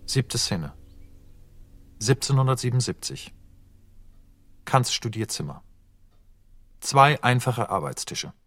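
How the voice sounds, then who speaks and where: A man's voice: mid-range voice, very animated, slow pace, long pauses, fairly bright tone, no echo. A man narrating an audio drama, reading screenplay directions in clipped, matter-of-fact phrases.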